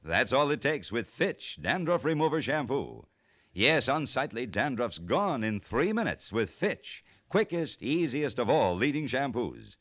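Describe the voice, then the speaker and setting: A man's voice: medium pitch, sing-song, a little slow, fairly bright tone, dry recording. A man with an American accent reading scripted copy as a 1950s radio announcer.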